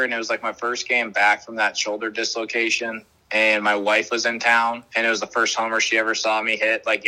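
A young man from the Boston area, a minor league ballplayer, answering interview questions over a video call in a relaxed, rambling way with lots of fillers. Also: mid-range voice, rough recording. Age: young adult. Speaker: minor league baseball player, guest.

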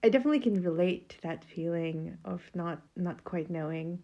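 A woman's voice: on the low side, normal speed, dull audio. A woman interviewing a guest in a casual conversation and asking curious, probing questions.

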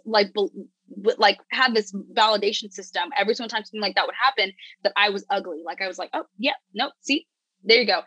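A young woman chatting as a podcast guest, candid and animated, full of "like" and restarts. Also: mid-range voice, no room sound, low-quality recording. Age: young adult. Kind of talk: casual podcast chat.

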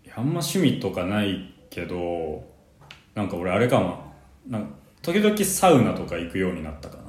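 Lively-toned man in an echoey room. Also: sits low, moderate pace. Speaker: co-host of a talk program. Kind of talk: casual conversational chat.

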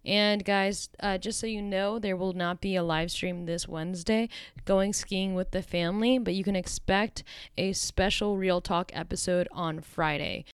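A woman's voice: mid-range voice, fairly even intonation, measured pace, clear, resonant tone, no room sound, clean studio sound. A young woman hosting a podcast in an upbeat, chatty way. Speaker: podcast host.

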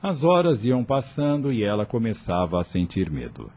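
A Brazilian man narrates a scripted radio drama. His voice is lowish and dark, with lively, varied intonation. Music plays beneath the narration. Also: normal speed. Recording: music under the voice.

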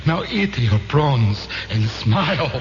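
Comic Italian painter, Italian accent, exasperated, coaxing, lively swoops, dull noisy radio recording. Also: male voice, medium pitch, takes it slowly. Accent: put-on Italian. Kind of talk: 1970s comic radio ad sketch. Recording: muffled, noisy 1970s radio broadcast.

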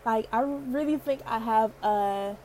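A woman's voice: fairly high pitch, a little slow, darkish tone, a little hiss or hum. A young woman in her twenties hosting a casual solo podcast, chatty and playful, laughing often, with a girlish voice. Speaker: podcast host.

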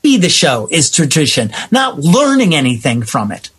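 Man, husky voice, very lively pitch, emphatic interview guest, conversational, acts out exchanges. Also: moderate pace. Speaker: guest, playwright and actor.

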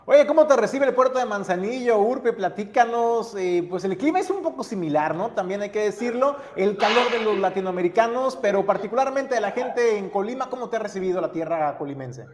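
A man's voice: high-pitched, lively intonation, a little fast, few pauses, big-room sound, slight background noise. A man hosting a news program, interviewing a guest in a friendly, chatty way. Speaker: news show host.

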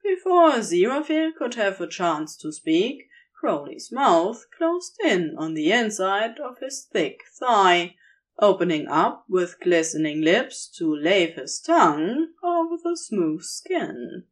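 A woman's voice: medium pitch, big pitch swings, slow pace. A woman narrating scripted romantic fan fiction in an intimate storytelling style.

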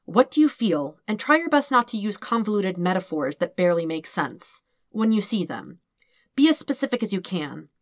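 Woman reader as a patient, measured, gently exasperated woman. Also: medium pitch, very animated, no echo, studio quality. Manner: measured, careful, matter-of-fact. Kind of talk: fan fiction audiobook reading.

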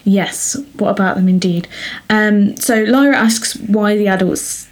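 A woman's voice: medium pitch, steady tone, measured pace. A young woman co-hosting a casual book podcast, recapping the plot and teasing her co-host dryly.

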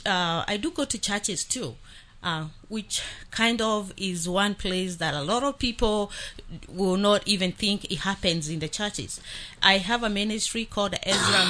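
A woman from Kenya, whose first language is Swahili, speaking as a guest on a radio talk show about her outreach work, earnest and halting with frequent fillers. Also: mid-range voice, pushed and bright. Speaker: outreach worker, radio ministry host.

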